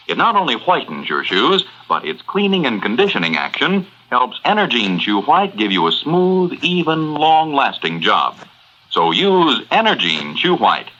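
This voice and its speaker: A man's voice: mid-range voice, bright, forceful tone. An American man reading as a commercial and network announcer in 1940s radio advertising style. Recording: muffled old radio broadcast, faint hiss.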